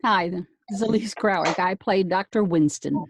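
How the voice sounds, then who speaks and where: A woman's voice: lowish voice, moderate pace, slight background noise. A woman who works as a voice actor, chatting casually on a video call. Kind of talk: casual chat.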